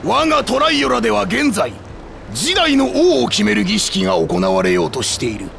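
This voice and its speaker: A man's voice: high voice, wildly swooping pitch, moderate pace, creaky, fairly bright tone, a little hiss or hum, full character voice. A man voicing a stern, suspicious soldier who guards a port in a game. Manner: commanding, suspicious.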